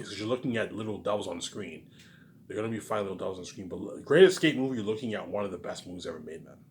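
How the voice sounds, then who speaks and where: A man rambling in a casual monologue, repeating himself, jumping between loud bursts and mumbled trailing endings. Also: on the low side. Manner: repetitive, keeps saying "man" and "obviously". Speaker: vlogger.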